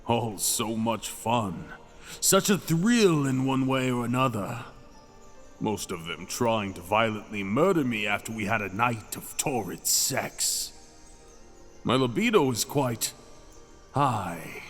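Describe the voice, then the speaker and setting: A man voicing an ancient, mad captive dragon king for an intimate ASMR roleplay, seductive, possessive and menacing, with teasing, drawn-out phrasing. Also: medium pitch, expressive, fairly bright tone. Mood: seductive, boastful. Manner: languid, teasing, drawn-out phrasing with dramatic pauses. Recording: long cavernous reverb, music behind.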